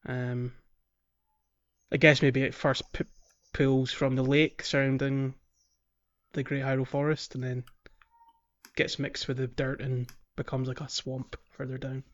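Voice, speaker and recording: A man's voice: mid-range voice, level tone, unhurried, muffled recording. A man hosting a casual video game playthrough podcast, explaining things in a hesitant, rambling way. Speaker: podcast host.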